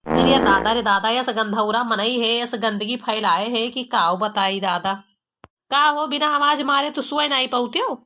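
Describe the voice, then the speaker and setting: A woman's voice: fairly high pitch, steady tone, measured pace, sharp tone. A woman voicing a young village daughter-in-law with an Awadhi accent, complaining dramatically and colloquially in an amateur cartoon comedy. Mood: scolding, disgusted. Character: exasperated, embarrassed young village daughter-in-law, gossipy and scolding.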